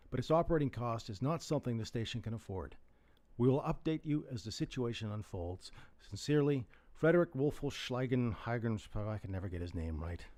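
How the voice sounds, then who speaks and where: An actor playing a dry, awkward, downbeat radio staffer, reading and talking in a reluctant, subdued way in a drama. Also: male voice, mid-range voice, warm tone, close to an everyday voice.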